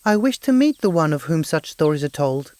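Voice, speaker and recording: A man's voice: fairly high pitch, very animated, a little fast, a little mellow, smooth. Man playing a courtly storybook prince. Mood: admiring, courteous. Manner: formal, courtly. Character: courteous, admiring young prince. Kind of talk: fairy tale character acting.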